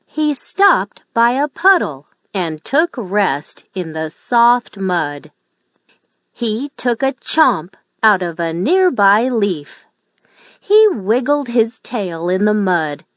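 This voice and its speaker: A woman reads a children's picture book aloud in an unhurried, gentle storytelling manner. Her pitch moves expressively through each line. The recording is dry and slightly dull. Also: sing-song, dry recording. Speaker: storybook reader. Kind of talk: children's read-aloud narration.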